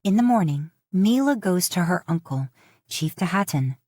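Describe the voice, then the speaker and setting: A woman narrating a fan fiction audiobook in a calm, even storytelling manner. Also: fairly low pitch, normal speed, no room sound, studio quality. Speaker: podfic narrator.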